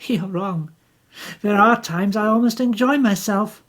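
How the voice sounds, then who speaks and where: Passionate bitter young woman, pushes every word, kinda husky, woman doing her. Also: medium pitch, a little slow, voice pushed up, close to an everyday voice. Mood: impassioned. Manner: intense, pleading, emphatic.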